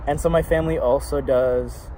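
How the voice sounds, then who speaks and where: A young man chats in a loose, rambling way, piling on fillers as he talks. His voice sits at an everyday middle pitch. Clear traffic noise from a city street runs behind him. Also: moderate pace. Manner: relaxed, rambling with many fillers. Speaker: guest. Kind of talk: casual chat. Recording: noisy street with passing traffic.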